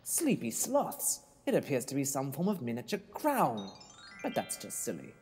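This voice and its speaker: A man playing an excitable, kindly, quaint museum caretaker in a children's audio drama, exclaiming theatrically with quirky oaths. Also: medium pitch, moderate pace, long reverb tail, soft background music. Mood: curious.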